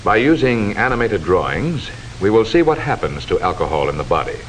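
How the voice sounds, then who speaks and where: A man delivering formal, measured, instructive scripted narration in the style of a 1940s educational film, on a hissy old soundtrack. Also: lowish voice, sing-song, poor audio.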